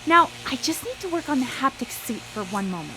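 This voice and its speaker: An obsessive scientist in a radio drama. Her voice sits high and swings widely as she pleads theatrically with the thing she made. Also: very animated, fairly quick, bright, forceful tone, a little hiss or hum, full character voice, rough recording. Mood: soothing, scheming.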